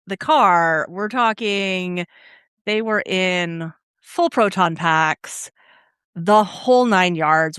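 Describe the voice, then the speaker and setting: A woman's voice: on the low side, a bit bright, clean tone, no room sound, clean studio sound. Enthusiastic woman co-hosting a podcast. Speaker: podcast co-host. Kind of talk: casual podcast talk.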